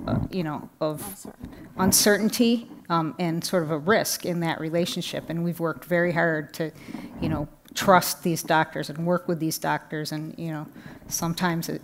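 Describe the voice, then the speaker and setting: Woman, 50s, patient advocate; deep for her sex, halting, personal. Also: slight background noise. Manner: personal, searching for words. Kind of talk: panel discussion. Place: indoor conference stage. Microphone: headset mic.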